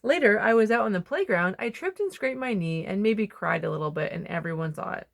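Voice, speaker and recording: Female storybook reader, mid-pitched, very animated intonation, dramatic pauses for young listeners. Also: gravelly. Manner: expressive, dramatic pauses and emphasis. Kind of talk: children's picture book read-aloud.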